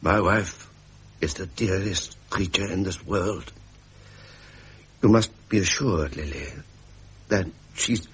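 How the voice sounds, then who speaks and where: An actor with a British accent playing a self-pitying, pleasure-loving prince in a period drama. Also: male voice, deep voice, slow pace, pauses often, a bit bright, husky, heavily acted. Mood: tender, smooth.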